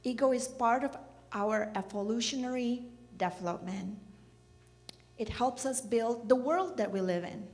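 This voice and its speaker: A middle-aged woman from Indonesia gives a reflective church message, speaking gently and asking the listeners questions. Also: fairly high pitch, a little slow. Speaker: children's program director giving a church message. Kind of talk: reflective sermon talk. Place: church hall. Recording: reverberant church hall.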